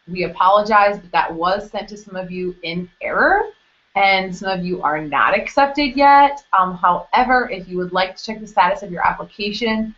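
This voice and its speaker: A woman's voice: mid-range voice, a bit bright. A young woman hosting a live webcast information session for an admissions office. She talks casually and explains things step by step, with plenty of fillers. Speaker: international admissions staff host. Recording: dull lo-fi webcast audio.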